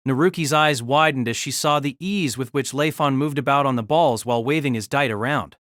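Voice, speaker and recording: A narrator telling a fan audio drama story in an expressive storytelling manner. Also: mid-range voice, fairly quick, dry recording, clean studio sound.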